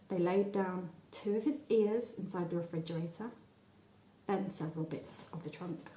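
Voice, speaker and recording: A woman reading a short story aloud in a measured, expressive storytelling manner with deliberate pauses. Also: medium pitch, roomy sound. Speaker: story reader. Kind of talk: scripted narration of a short story.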